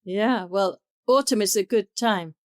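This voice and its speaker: Lady podcast host, middle pitch, short replies, dry close mic. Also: a little slow, dry recording. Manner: brief encouraging replies and follow-up questions. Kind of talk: conversational interview.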